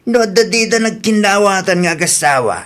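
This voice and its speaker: A man's voice: sits high, expressive, normal speed, a bit bright. A man playing a meddling middle-aged villager in a comedy radio drama, with an Ilocano accent. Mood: concerned, insistent.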